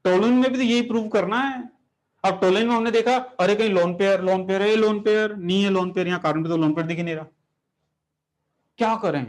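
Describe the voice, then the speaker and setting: A fairly high-voiced man teaches chemistry to a class. He talks in an animated way, throws questions at his students and turns the lesson into a story. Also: fast talker. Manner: animated, rhetorical questions, addresses students directly.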